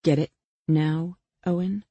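Woman playing a coldly taunting female killer, low-toned. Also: fairly even intonation, slow pace, dark tone, slightly rough, no echo, exaggerated voice. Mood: taunting, mock-patient. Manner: taunting, mock-patient, pointed questioning. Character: vengeful young female killer, coldly taunting, sadistically patient. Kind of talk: dramatic audiobook character dialogue.

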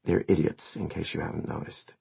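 A man's voice: sits low, moderate pace, creaky, slightly rough, no room sound, full character voice. A man voicing an irritable, blunt teenage girl in an audiobook, curt and scornful.

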